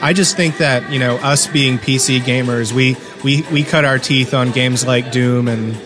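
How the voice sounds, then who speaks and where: A man's voice: mid-range voice, steady tone, normal speed. A man co-hosting a podcast in casual group chat, with a teasing, joking manner. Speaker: podcast host. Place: dark room at a gaming LAN party. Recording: music playing in the background.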